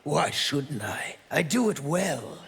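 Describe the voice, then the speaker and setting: An actor playing a ruthless soldier in a fighting game, cold, deliberate and scornful. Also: male voice, fairly high pitch, big pitch swings, creaky, loud music behind the voice, heavily acted. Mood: cold, unrepentant. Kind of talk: video game fight intro dialogue. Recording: reverberant space, faint noise.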